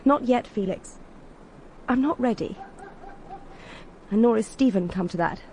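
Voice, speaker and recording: Anguished high-voiced young English widow. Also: female voice, expressive, a little slow, a little mellow, a little hiss or hum. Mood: bitter, resolute. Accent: British English. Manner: emotional, pleading. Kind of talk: radio drama acting.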